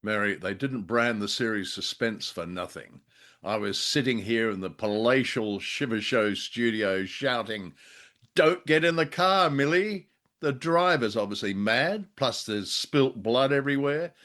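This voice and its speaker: A man's voice: medium pitch, unhurried, a bit bright. An Australian man co-hosting a conversational review show, talking in a wordy, wry way. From Perth, Western Australia. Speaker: podcast co-host. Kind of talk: conversational radio drama review.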